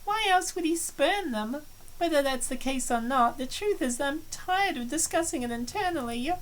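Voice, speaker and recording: A woman reading a visual novel's dialogue aloud in a clear, measured way. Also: sits high, expressive, low-quality recording. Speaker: game streamer.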